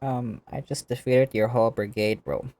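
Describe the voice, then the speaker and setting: A woman's voice: very deep voice, steady tone, moderate pace, dark tone. A woman streaming a game, giving playful, slangy casual commentary and reading game text aloud. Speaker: gameplay streamer.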